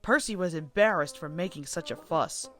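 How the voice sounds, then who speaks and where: A woman reading a children's storybook aloud as an expressive narrator, with music underneath. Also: fairly low pitch, moderate pace. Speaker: storybook reader.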